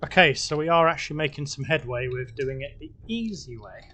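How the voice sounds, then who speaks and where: A man giving relaxed, casual gameplay commentary, talking to himself as he builds, his voice a little croaky. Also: a little high, fairly quick. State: slightly croaky voice. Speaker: gaming video host.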